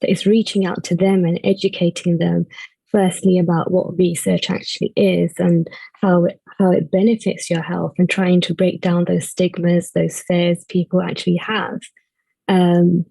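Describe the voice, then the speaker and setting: A woman speaks earnestly as a patient on a webinar panel, and "like" keeps slipping into her sentences. Her voice is low, gravelly and a little husky. The sound is dry and close. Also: warm tone, no echo, poor audio. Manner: earnest, conversational, says "like" often.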